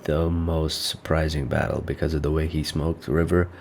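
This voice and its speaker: Deep, nasal man with a stuffy nose. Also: little pitch movement, normal speed, a little mellow, a little hiss or hum. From North Macedonia. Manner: rambling, thinking aloud with fillers. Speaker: reaction video host. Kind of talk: casual reaction commentary.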